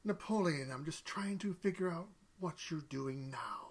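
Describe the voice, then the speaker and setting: A woman voicing a terse, deadpan and suspicious demon sidekick on a comedy podcast. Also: sits low, moderate pace, slightly rough.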